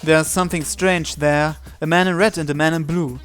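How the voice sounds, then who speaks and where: Slightly high-voiced man reading aloud. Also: steady tone, normal speed, a bit bright. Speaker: let's player reading visual novel aloud. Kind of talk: narration read aloud, first person. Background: game music.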